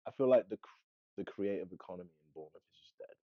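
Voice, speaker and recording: A man's voice: low-pitched, animated, fast, no echo, lo-fi sound. A young man, chatting casually and informally as a podcast host. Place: podcast studio.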